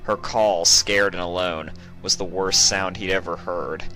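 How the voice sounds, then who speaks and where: A man reading a story aloud as dramatic scripted narration, grave and measured, with music behind him. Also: sits low, fairly even intonation, sharp tone. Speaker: audiobook narrator.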